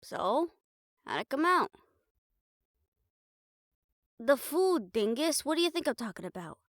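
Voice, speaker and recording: A woman playing a caring, blunt, teasing young girlfriend in an improvised audio roleplay, chatting casually and haltingly to the listener. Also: sits high, big pitch swings, a bit bright, dry recording, studio quality, full character voice. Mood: playful, blunt. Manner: casual, halting, playful swearing, affectionate teasing.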